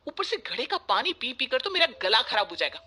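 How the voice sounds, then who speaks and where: A woman's voice: fairly high pitch, very animated, a little fast, bright, forceful tone, a little hiss or hum. A woman voicing a spoiled, demanding young city bride in a story cartoon, whiny and petulant, dropping in English words. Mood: whining. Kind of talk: Hindi moral story cartoon voice acting. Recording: music bed behind the voice.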